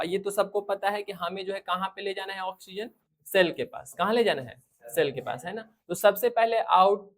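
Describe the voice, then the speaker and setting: Indian science teacher, question-and-answer lecturing. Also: mid-range voice. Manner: repeats questions and answers them, frequent check-in tags. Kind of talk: classroom lecture to school students.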